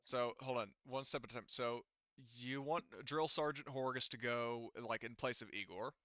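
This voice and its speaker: A man's voice: mid-range voice, moderate pace, sharp tone, clear, resonant tone. A man running a tabletop roleplaying session as game master, talking informally. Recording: slightly dull, dry close recording.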